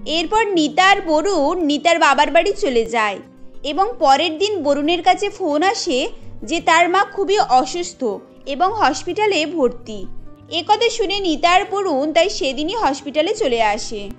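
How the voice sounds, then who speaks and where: A woman's voice: very high voice, expressive, unhurried, faint music behind. A woman narrating a scripted cartoon story.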